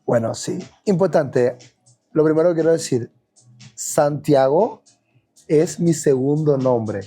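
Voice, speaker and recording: A man from Cuba with a Cuban Spanish accent, a dancer recounting his childhood in a relaxed, reflective podcast interview, with frequent fillers and restarts. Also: on the high side, slow pace, breathy edge, soft background music. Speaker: guest dancer. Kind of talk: podcast interview, personal storytelling.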